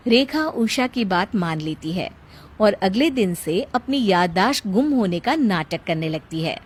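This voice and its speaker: A woman's voice: mid-range voice, expressive, a little slow, breathy edge. A woman narrating a scripted family story as its storyteller.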